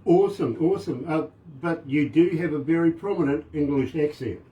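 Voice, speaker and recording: Husky upbeat Kiwi radio host man. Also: a little high, fairly quick, mellow, dull audio. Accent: New Zealand. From New Zealand. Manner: enthusiastic, repeats phrases for emphasis. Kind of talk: upbeat radio show hosting.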